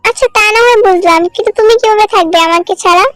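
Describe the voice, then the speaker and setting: Woman as a plaintive, high-voiced bird husband. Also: fast talker, a bit bright, no echo, faint music behind. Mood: glum, reluctant. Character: devoted, clingy male bird husband.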